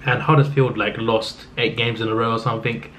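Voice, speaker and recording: A man's voice: medium pitch, a little fast. A man with a fading voice, rambling through a casual football recap in a subdued tone, with lots of restarts. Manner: subdued, flat-sounding delivery; rambling, with frequent restarts and fillers. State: losing his voice. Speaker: football vlogger. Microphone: camera mic. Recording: slightly dull lo-fi audio with faint noise.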